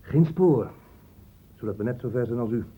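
An actor playing a gruff, hard-line police inspector in a radio drama, blunt and sarcastic, with emphatic delivery. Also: male voice, mid-range voice, expressive, moderate pace, phone-quality sound. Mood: matter-of-fact.

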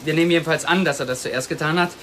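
A woman's voice: low voice, a little hiss or hum, close to an everyday voice. Woman as analytical investigator, faint ambience. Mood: matter-of-fact. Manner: explanatory, deliberate. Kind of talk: radio crime drama dialogue.

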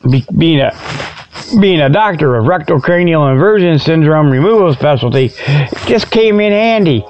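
A man's voice: a little high, animated, normal speed, lo-fi sound. A man hosting a comedy show with a rambling, tongue-in-cheek monologue, folksy and colloquial, drifting off on tangents. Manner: folksy, colloquial grammar, digressive.

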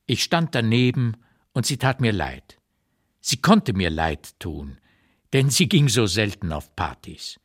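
A man with a low voice reads a literary memoir as a radio narrator. His intonation swings widely and keeps the storytelling animated. The delivery is articulate and expressive.

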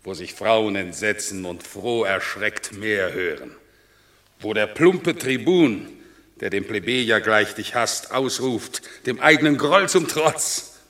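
Solemn army general, declamatory, bright ringing tone, classical verse radio drama. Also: male voice, fairly low pitch, animated, takes it slowly, heavily acted. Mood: solemn praise. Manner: ceremonious, declamatory. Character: stately Roman general, generous and solemn commander.

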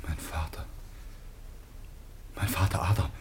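An actor playing a troubled, sincere biblical son and brother, husky and earnestly theatrical in a classical radio drama. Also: male voice, a bass voice, steady tone, a little slow, whispered. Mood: stricken.

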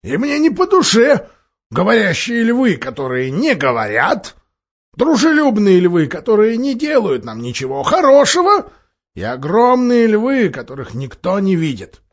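A sceptical, loyal dwarf acted by a man reading a storybook grumbles bluntly and with emphasis. His pitch jumps around in a lively way. The recording is a little muffled. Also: unhurried, heavily acted. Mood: grumbling, sceptical. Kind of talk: audiobook character voice.

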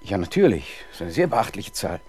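A man's voice: on the low side, very animated, a little fast, darkish tone, husky, full character voice. An actor playing an indignant, pompous male town councillor in a 1970s German radio crime drama. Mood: proudly explaining. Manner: blustering, pompous.

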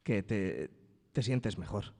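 An actor playing a steady, soothing friend in a radio drama. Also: male voice, fairly low pitch, slow pace, gravelly, full character voice. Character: soothing, steady male friend consoling a troubled man. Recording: reverberant radio drama, roomy sound.

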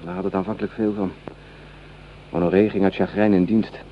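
An actor playing a rueful, well-off young volunteer soldier in a radio play, confiding in an earnest, reflective way. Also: male voice, sits low, little pitch movement, brisk, lightly acted. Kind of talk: Dutch radio play acting. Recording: dull, noisy old radio recording.

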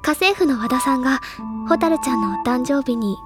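A woman voicing a gentle, perceptive teenage girl in an audio drama, explaining in a measured, earnest way with soft phrase endings. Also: sits high, expressive. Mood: earnest, grave. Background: faint music.

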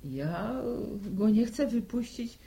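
A woman's voice: medium pitch, expressive, slow, warm tone. An actress playing an anxious older village widow and protective mother in a radio drama, fretful and sighing. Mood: defensive.

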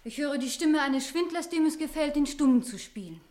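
A woman's voice: sits high, lively intonation, a little fast, a little mellow. An actress playing a spirited, teasing young woman in a radio play, pert and mocking. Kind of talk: German radio play acting.

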